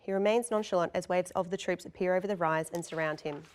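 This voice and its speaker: A woman's voice: lowish voice, fairly even intonation, fast talker. A woman narrator reading stage directions and scene descriptions at a table read.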